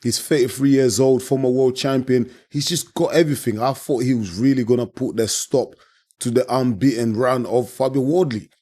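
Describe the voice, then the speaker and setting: Hoarse man, passionate and warm. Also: medium pitch, moderate pace. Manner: passionate, repeats himself, keeps saying "man". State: hoarse, voice worn out from shouting. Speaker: boxing channel host. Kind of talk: casual post-fight reaction monologue.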